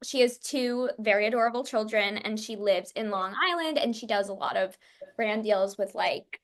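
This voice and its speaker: A woman's voice: mid-range voice, moderate pace. A woman in her twenties from New York City chatting casually as a podcast guest, talkative and peppering her speech with "like". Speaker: podcast guest, content creator.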